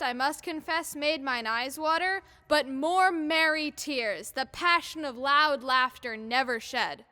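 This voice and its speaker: A woman playing a deferential, wry master of revels at court, speaking formally and deliberately in a Shakespearean audio drama. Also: sits high, bright, forceful tone, full character voice. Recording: long reverberant hall echo.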